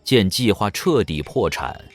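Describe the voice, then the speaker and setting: A man's voice: on the low side, very animated, a little slow, soft background music. A man narrating an audio drama in a suspenseful, dramatic scripted storytelling style.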